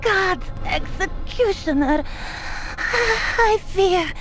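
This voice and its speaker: A woman's voice: very high voice, expressive, extremely slow, a bit bright, exaggerated voice, low-quality recording. An actress playing a mad, zealous noblewoman turned killer, ranting with menacing religious fervor in a video game. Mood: fanatical menace. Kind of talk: dramatic video game acting. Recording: music behind the voice.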